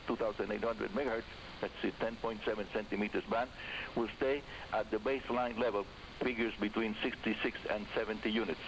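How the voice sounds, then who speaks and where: A man with a Cuban accent, a native Spanish speaker, hosting a radio hobby program in a deliberate announcing style over a telephone line on shortwave radio. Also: on the low side. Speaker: radio host. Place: on a phone line linked to the studio. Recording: hissy, noisy shortwave broadcast, telephone-line audio.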